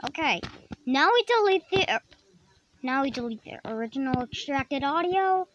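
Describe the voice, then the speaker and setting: A tutorial host casually explaining software steps in a halting way, often repeating and rephrasing. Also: sits high, lively intonation. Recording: dull lo-fi microphone, clearly noisy.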